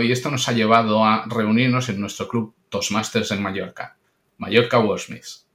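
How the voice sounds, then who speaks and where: A man hosting a podcast interview, welcoming his guest and asking measured questions. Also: lowish voice, sharp tone. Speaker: podcast host. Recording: roomy echo.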